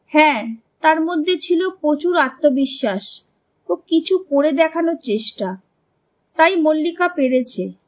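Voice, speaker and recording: Female narrator for animated tales: high pitch, scripted storytelling delivery. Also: measured pace.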